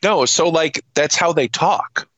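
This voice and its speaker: A man's voice: on the high side, measured pace, fairly bright tone, no room sound, muffled recording. A man co-hosting a podcast in casual chat, swearing freely and emphatically in exasperation as he rants through a movie review. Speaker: podcast co-host.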